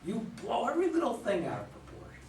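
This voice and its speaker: A man's voice: very high-pitched, huge pitch swings, quick pace, darkish tone, heavily acted. A man playing a dismissive, sarcastic husband who belittles his wife in a staged play reading. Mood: mocking. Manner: mocking, belittling. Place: room at a live readers' theater reading. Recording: echoey room, distant mic, faint noise.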